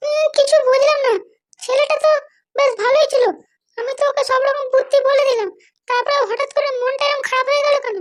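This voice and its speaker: A woman's voice: extremely high pitch, steady tone, brisk. A woman voicing a doting but bossy mother in a cartoon, fretful, insistent and nagging. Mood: worried, coaxing. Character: doting, anxious, bossy mother of a grown son. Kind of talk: cartoon dialogue.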